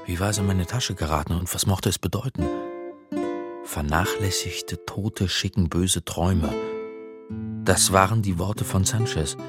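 An actor playing a religious studies lecturer turned uneasy amateur sleuth, narrating a radio crime drama in a reflective way. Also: male voice, low voice.

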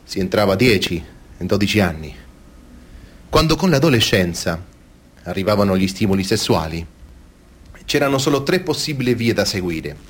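A didactic male expert, lively intonation. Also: lowish voice, breathy edge. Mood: explanatory, serious. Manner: didactic, deliberate, emphatic. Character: measured male expert explaining clergy abuse. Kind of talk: scripted interview-style stage testimony.

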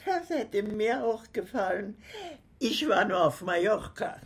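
An actress playing a blunt, nosy, chatty building caretaker in a radio drama, speaking in plain colloquial words. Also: female voice, medium pitch, lively intonation, measured pace, husky. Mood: guarded, blunt. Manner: blunt, suspicious questioning, plain colloquial talk.

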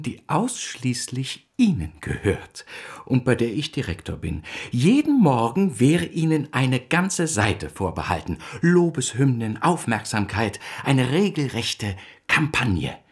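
An actor playing a wily, persuasive newspaper schemer in a German radio drama, with a husky, breathy voice and insinuating manner. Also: male voice, mid-range voice, big pitch swings, unhurried, full character voice.

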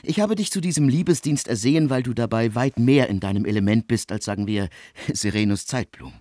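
An actor in a German radio play, playing a cool, probing, formal man with husky, deliberate, ornate and ironic diction. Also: mid-range voice, mellow, dry recording, clean studio sound, natural voice. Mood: insistent. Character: cool, probing, formal man asking a friend to woo for him.

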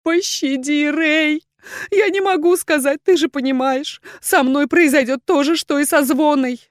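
A woman's voice: sits high, big pitch swings, fairly quick, no echo, clean studio sound, pitched above the performer's own voice. A woman voicing a desperate, tearful wyvern woman, a spurned mistress who pleads in breathless, sobbing exclamations. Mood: tearful pleading.

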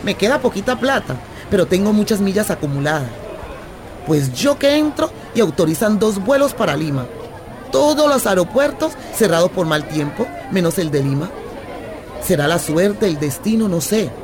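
An actress playing a restless, affectionate Colombian traveller in a radio drama, telling things in a playful, expressive, conversational way. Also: female voice, medium pitch, normal speed, music in the background, a crowd in the background, close to an everyday voice. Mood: excited, storytelling. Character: adventurous, restless, affectionate young Colombian woman stranded while travelling. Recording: remote recording with clear traffic noise.

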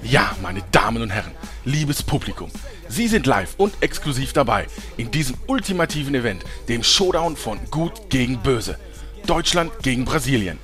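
A man plays a pompous, excitable showman announcing a big live event. His mid-pitched voice swings hugely as mock commentary, with faint music behind. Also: normal speed. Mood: hyped, pompous. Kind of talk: mock sports commentary outtake.

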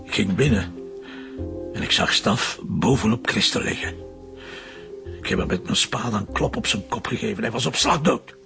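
A man's voice: lowish voice, expressive, normal speed, vocal fry, pauses often, over music. An actor playing an old, guilt-ridden and fiercely protective grandfather who has killed, in a radio drama. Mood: confessing, agitated. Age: older.

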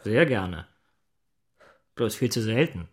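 An actor playing a sober, understated middle-aged systems consultant in a German radio drama. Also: male voice, fairly low pitch, sing-song, slow pace, husky, full character voice. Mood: dry, intimate. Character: sober male Berlin systems consultant in a love affair. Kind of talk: German radio drama dialogue and inner narration.

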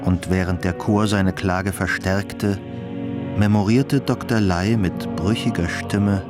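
A man's voice: low-pitched, steady tone, slow pace, over music. A man reading scripted literary narration for a radio drama.

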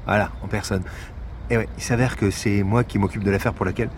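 An actor playing a weary, dry-humored police investigator in a naturalistic audio drama, speaking in a hesitant, understated way. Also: male voice, fairly low pitch, fairly even intonation, fast talker, slight background noise, close to an everyday voice. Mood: awkward, polite. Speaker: actor in a fiction podcast.

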